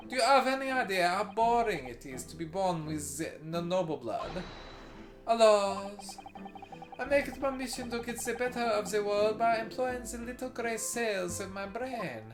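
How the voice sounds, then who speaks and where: A woman's voice: medium pitch, close to an everyday voice. A woman playing a pompous, scheming French nobleman with a loose put-on French accent. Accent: put-on French, drifting towards Italian. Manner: grand, self-important.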